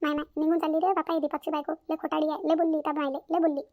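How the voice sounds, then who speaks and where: A woman's voice: sits high, little pitch movement, racing, narrow, tinny audio, close to an everyday voice. A woman playing a gossipy, judgmental neighbour in a cartoon family drama, exclaiming and repeating herself as she comments. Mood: gossipy, disapproving.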